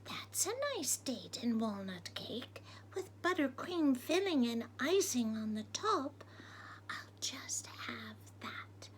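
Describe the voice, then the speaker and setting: A woman's voice: fairly high pitch, big pitch swings, slow, a little hiss or hum. A woman voicing a cheeky, polite woman burglar in a storybook reading, chatty and genteel. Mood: hushed, greedy.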